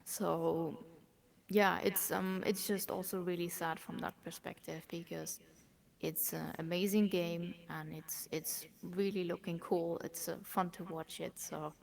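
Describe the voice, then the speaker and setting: German woman talking esports in an interview, lowish gravelly voice, drops to almost a whisper sometimes. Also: little pitch movement, unhurried. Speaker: esports operations manager. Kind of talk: casual interview chat.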